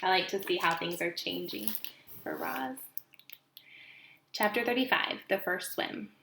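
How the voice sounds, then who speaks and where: A woman reading a children's book aloud as an expressive storyteller, recorded in an echoey room. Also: mid-range voice, measured pace, vocal fry, a little hiss or hum.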